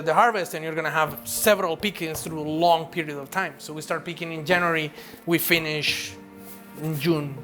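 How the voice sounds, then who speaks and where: A man who farms coffee speaks in a rather high voice. He talks casually and fills his sentences with "you know" and "like". Faint music plays behind him. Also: a bit bright. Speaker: coffee farmer. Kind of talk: documentary interview.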